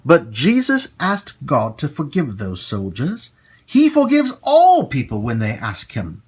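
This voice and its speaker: Husky, very animated man hosting children's storytime. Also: medium pitch. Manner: animated, slow, emphatic delivery for young children. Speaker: storytime host and children's teacher. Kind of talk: expressive children's story reading and friendly announcements to kids.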